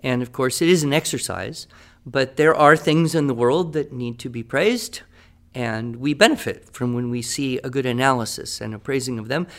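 A man co-hosting an educational podcast, explaining writing instruction in a measured, lightly humorous way. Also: medium pitch, a bit bright. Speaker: writing teacher and podcast co-host. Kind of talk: casual educational podcast chat.

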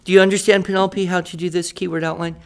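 A man's voice: high voice, level tone, fast talker, clean tone. A man playing a patient writing teacher in a radio drama, explaining step by step and checking that students follow. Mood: instructive. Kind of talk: improvised-sounding classroom teaching in a radio drama.

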